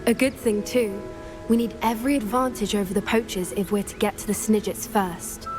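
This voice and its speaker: An actress playing an earnest young female student who loves magical creatures, speaking in a soft, thoughtful, sometimes hesitant way in video game dialogue. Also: medium pitch, fairly even intonation, a little fast, over music, natural voice. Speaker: game character.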